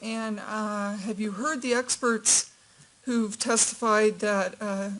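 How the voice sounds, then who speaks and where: A woman attorney questioning a witness in a courtroom in a measured, gentle way. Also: medium pitch, steady tone, background noise.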